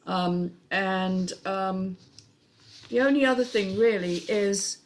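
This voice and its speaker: Female panel host, mid-pitched, frank and casually sweary, informal discussion style. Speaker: host of a script reading panel.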